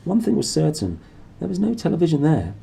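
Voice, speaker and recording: A man narrates a children's story with very lively, swooping intonation. His voice is mellow and somewhat husky, and he reads in an animated, dramatic storytelling style. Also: on the high side, fairly quick. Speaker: storybook reader.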